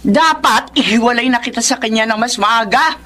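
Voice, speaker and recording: A woman's voice: fairly high pitch, moderate pace, bright, forceful tone. An actress with a Filipino accent playing a protective middle-aged mother who scolds and probes indignantly in a radio drama. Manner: indignant, probing, emphatic.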